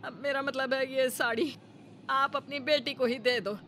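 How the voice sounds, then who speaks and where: A woman's voice: high voice, fairly even intonation, a little slow, noisy background. A woman voicing a suspicious, sarcastic middle-aged mother-in-law in a cartoon story, dramatic and grumbling. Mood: disdainful.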